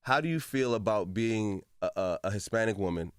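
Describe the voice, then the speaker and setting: A man's voice: fairly low pitch, fairly quick, clear, resonant tone, dry recording, clean studio sound. A man hosting a casual radio interview, asking questions in an informal, conversational way.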